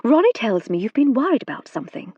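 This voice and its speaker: Woman as a crisp, refined aristocratic sleuth. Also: on the high side, very animated, quick pace, no room sound, muffled recording, pitched above the performer's own voice. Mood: kindly brisk. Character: brisk, clever aristocratic lady sleuth.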